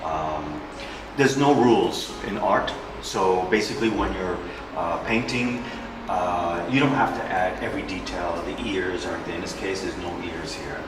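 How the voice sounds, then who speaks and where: A man in his fifties from New York with a very low, deep voice walks through his own paintings in a gallery. He talks haltingly, full of pauses and fillers. He sounds a little off-mic in a strongly echoing room, with music playing behind him. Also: distant mic, background noise. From New York, USA. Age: 50s. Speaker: painter presenting his work. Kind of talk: gallery walk-through talk. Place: indoor art gallery.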